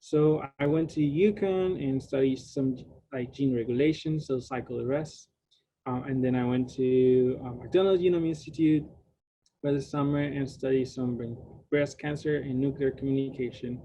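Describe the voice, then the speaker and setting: A man's voice: mid-range voice, fairly even intonation, moderate pace, dark tone, low-quality recording. A young man from Puerto Rico whose first language is Spanish, giving an online science talk with many fillers and restarts. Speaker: graduate student speaker. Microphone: video call.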